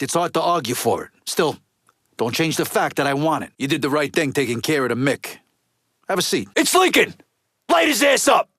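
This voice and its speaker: An actor playing a gruff, resentful mob lieutenant in a video game, with an American accent and a blunt, streetwise, foul-mouthed way of talking. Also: male voice, on the high side, a bit bright, no room sound, studio quality. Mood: frustrated, then yelling alarm. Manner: blunt, streetwise, heavy swearing.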